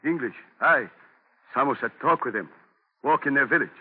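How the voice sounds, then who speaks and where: Blunt go-between, husky, tinny radio. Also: male voice, medium pitch, lively intonation, moderate pace. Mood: solemn, reporting. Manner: clipped, broken English, declamatory. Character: blunt, urging Native man, friendly go-between. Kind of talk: 1940s radio drama acting. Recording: muffled, echoing, tinny 1940 radio recording.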